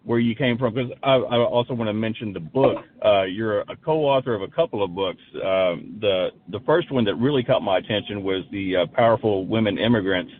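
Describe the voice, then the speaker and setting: A man co-hosting an interview, who introduces his guest and asks questions in a halting, hesitant way. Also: on the low side, slight background noise. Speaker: co-host. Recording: tinny phone-like line.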